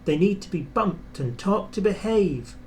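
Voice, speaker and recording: A man's voice: high voice, animated, unhurried, a little hiss or hum, pitched above the performer's own voice. A man voicing a kindly, sensible male steam engine in a children's storybook. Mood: advising. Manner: gently advising, puffing. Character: kindly, sensible male tank or tender engine, steady helper.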